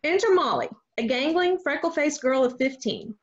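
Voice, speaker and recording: A woman's voice: high voice, big pitch swings, moderate pace. A woman reading stage directions as scripted narration for a play reading, on a dull online recording. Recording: dull lo-fi online call sound.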